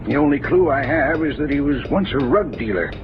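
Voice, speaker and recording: An actor playing a tired, worried investigator in his sixties in an old radio drama, halting and formal. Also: a little high, expressive, dull audio, lightly acted. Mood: troubled, guarded. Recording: old radio recording with music behind.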